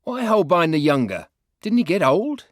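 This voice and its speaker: A cheeky, high-pitched questioner. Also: male voice, very animated, normal speed, no room sound, studio quality. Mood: puzzled, cheeky. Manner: short, pointed questions. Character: cheeky, puzzled male questioner at a mock awards show.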